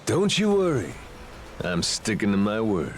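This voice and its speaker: An actor playing a confident, easygoing and persuasive pirate captain in a video game, talking in a cocky, casual, unhurried way. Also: male voice, fairly low pitch, wildly swooping pitch, slight background noise. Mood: resolute, reassuring. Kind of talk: dramatic video game voice acting.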